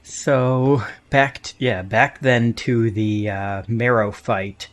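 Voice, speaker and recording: A man's voice: medium pitch, steady tone, slow. A man hosting a casual panel chat about a tabletop game he plays in. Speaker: podcast host and player in a tabletop game.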